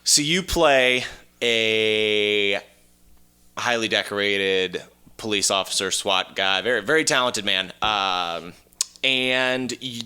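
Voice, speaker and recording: Very bright, deep-voiced man, blunt podcast talker. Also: smooth. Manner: blunt, profane, joking. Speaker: podcast co-host. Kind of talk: casual banter and movie pitching.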